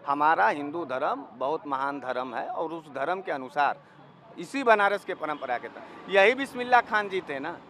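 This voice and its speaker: A man's voice: a little high, lively intonation, normal speed, pushed and bright. A man in his thirties with an eastern Uttar Pradesh accent, arguing emphatically in a street interview. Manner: emphatic, argumentative. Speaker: local interviewee. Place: outdoors, seated by a street wall. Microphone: handheld reporter's microphone. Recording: faint street noise.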